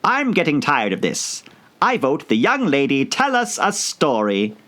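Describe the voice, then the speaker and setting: A man's voice: fairly high pitch, huge pitch swings, a little slow, fairly bright tone, voice pushed up, exaggerated voice. A man voicing a bored, interrupting march hare in a storybook reading.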